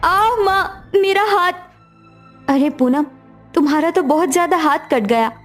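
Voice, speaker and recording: A woman's voice: extremely high pitch, measured pace. A woman voicing a poor, devoted, hopeful young daughter-in-law in a cartoon family story. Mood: pained, then concerned. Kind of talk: cartoon dialogue acting. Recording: music behind the voice.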